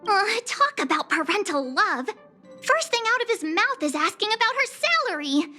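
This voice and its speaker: Perky teasing girl adventurer, video game, very high pitch, sharp bright tone, casual and expressive. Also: moderate pace, soft background music, heavily acted. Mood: exasperated, flustered.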